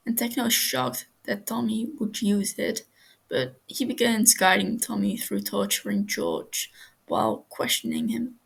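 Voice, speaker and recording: Slow, husky woman reading a story. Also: medium pitch, low-quality recording. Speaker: amateur fan fiction reader.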